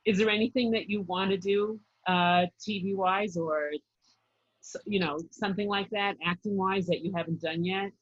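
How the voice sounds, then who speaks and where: A woman's voice: mid-range voice, fairly even intonation, moderate pace, lo-fi sound. A woman hosting a podcast, asking questions and giving short encouraging replies. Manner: brief encouraging responses and questions. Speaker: podcast host. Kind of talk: interviewing.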